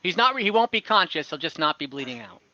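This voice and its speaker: A man's voice: a little high, big pitch swings, fast talker, bright, forceful tone, highs cut off. A man acting as game master for an online tabletop game, explaining rules with hesitant restarts and fillers. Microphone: home mic on an online call.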